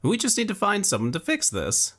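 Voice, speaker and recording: A man doing a pleading young scholar, high and strained. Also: wildly swooping pitch, a little fast, a bit bright, heavily acted.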